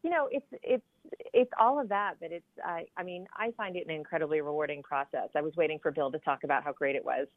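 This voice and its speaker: A woman's voice: deep voice, fast talker, no echo. Middle-aged woman on a tinny phone line. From southern United States. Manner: chatty, many fillers and restarts. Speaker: cookbook author guest. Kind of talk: casual radio interview.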